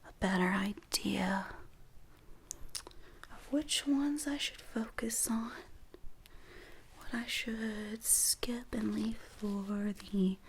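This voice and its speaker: A woman performs an ASMR medical roleplay in a soft, breathy whisper. She speaks slowly and gently, explaining each step in a reassuring way as a dermatologist would. The voice sits at a medium pitch over some faint background noise.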